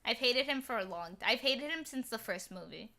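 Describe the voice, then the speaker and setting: A woman's voice: on the high side, lively intonation, moderate pace, sharp tone. A young woman in a casual group film discussion, stating her opinions bluntly and stubbornly as a co-host. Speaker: podcast co-host.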